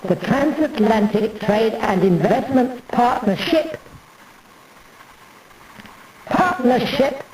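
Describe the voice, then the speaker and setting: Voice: mid-range voice, expressive, takes it slowly, darkish tone, a little hiss or hum. A performer delivering a rambling, mannered theatrical monologue, addressing the listener familiarly as a pianist would from the stage. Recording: roomy echo, distant mic, music behind.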